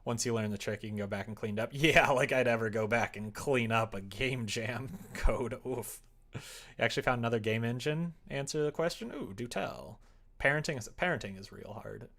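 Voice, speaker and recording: A livestreamer with a noticeably creaky voice at a medium-low pitch talks casually while working on a game. The delivery rambles between muttered thinking aloud and chatty replies to viewers, with a self-deprecating streak. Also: moderate pace, fairly bright tone.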